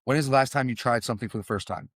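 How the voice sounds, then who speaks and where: A man's voice: medium pitch, racing, husky, no room sound, studio quality. A middle-aged man hosting a podcast in a conversational interview style. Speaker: podcast host.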